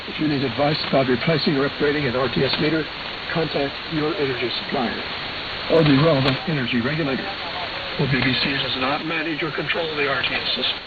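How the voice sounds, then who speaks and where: A man presenting a radio programme, reading scripted news and listener reports in a measured, matter-of-fact way over shortwave radio. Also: medium pitch, fairly even intonation. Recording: hissy, dull AM shortwave radio reception.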